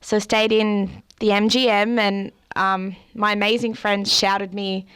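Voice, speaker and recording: A young Australian woman, a guest on an interview show, talks freely and laughs easily. Her voice is smooth and clear. She fills her sentences with ums and you-knows as she tells her story. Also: mid-range voice, little pitch movement, a little slow, a bit bright. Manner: chatty, laughs often, many fillers. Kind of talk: casual interview.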